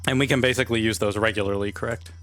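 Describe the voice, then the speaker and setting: A man's voice: fairly low pitch, fairly even intonation, very fast, fairly bright tone, dry recording. A man taking part in a tabletop role-playing game as a player, chatting casually. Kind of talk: casual chat.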